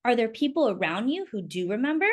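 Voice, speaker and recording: A woman reading a gentle encouraging teacher. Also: fairly high pitch, sing-song, fast talker. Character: kind woman schoolteacher.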